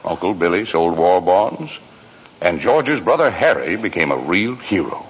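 An actor playing an authoritative senior heavenly spirit, narrating a life story in folksy radio drama style. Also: male voice, low-pitched, very animated, measured pace, breathy edge, exaggerated voice. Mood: brisk storytelling. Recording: muffled, echoing vintage radio broadcast.

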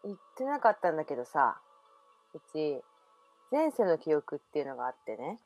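A woman's voice: deep voice, very animated, normal speed, darkish tone, faint music behind, exaggerated voice. Woman playing a girl with past-life memories. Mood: mysterious. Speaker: radio drama performer. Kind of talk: amateur radio drama acting.

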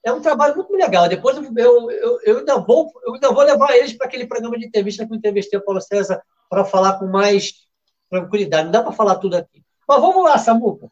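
A man's voice: very high voice, animated, fast, muffled recording. A man hosting a football betting show in a casual, chatty way, often restarting his sentences. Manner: chatty, with many restarts and fillers. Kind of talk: casual live show talk.

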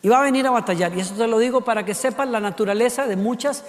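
A man from Costa Rica with a Costa Rican accent preaching a sermon, animated, with many rhetorical questions and acted-out voices. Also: very high voice, fast, in a large hall. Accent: Costa Rican Spanish.

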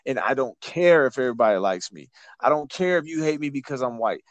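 A man's voice: mid-range voice, expressive, a little fast, no room sound. A man hosting a casual podcast, talking bluntly with plenty of swearing and repeated words. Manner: blunt, repetitive, profane. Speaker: podcast host.

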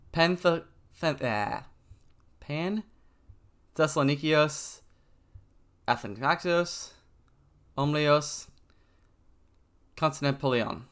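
Guy doing a solo podcast, clear smooth voice, lots of ums, easygoing. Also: on the high side, a bit bright. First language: English. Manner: conversational, frequent ums, stumbles over foreign names. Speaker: podcast host. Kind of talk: solo educational podcast talk.

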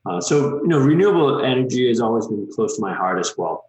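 A man in his thirties from Malaysia, a guest in an interview, talking casually and relaxed with many "um" and "you know" fillers. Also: mid-range voice, quick pace, echoey room, low-quality recording.

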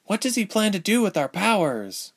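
A man voicing a frightened but defiant young woman with magic powers, in a high, strained voice. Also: very animated, normal speed, full character voice. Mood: urgent.

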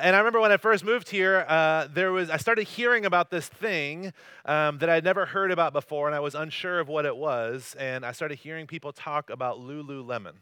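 A man from North Carolina in his thirties, preaching a casual sermon as a campus pastor. He talks in a light, joking way and often pokes fun at himself. His voice is smooth and clear, a touch high and sharply bright, and it is recorded dry. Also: no room sound. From North Carolina, USA. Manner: joking, self-deprecating, loose storytelling. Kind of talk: conversational sermon with personal stories. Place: church service.

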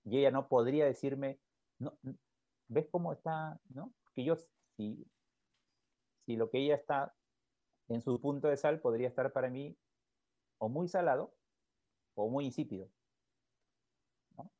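Calm reflective man, muffled dry podcast audio. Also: mid-range voice, a little slow, long pauses, no room sound. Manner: calm and reflective, often ends with a questioning tag. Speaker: psychologist. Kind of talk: explanatory podcast talk. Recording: dull, lo-fi, dry sound.

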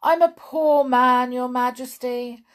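A woman's voice: high voice, fairly even intonation, slow, voice pushed up. A woman voicing a timid, miserable male hatter in a storybook, apologetic and nervous. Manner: nervous, pleading.

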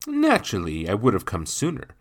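A man voicing a courteous, self-important gentleman in an audiobook, speaking formally and emphatically with showy magnanimity. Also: fairly low pitch, huge pitch swings, brisk, pitched above the performer's own voice. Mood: pompous courtesy. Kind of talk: dramatised audiobook character voice.

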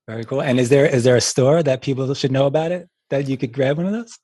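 A man's voice: medium pitch, quick pace, mellow, no room sound, studio quality. A man hosting a casual interview and reacting with short interjections. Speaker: host.

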